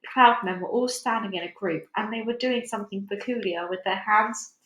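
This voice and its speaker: A woman narrating a children's book aloud in an expressive storytelling way. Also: medium pitch, brisk. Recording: echoey room.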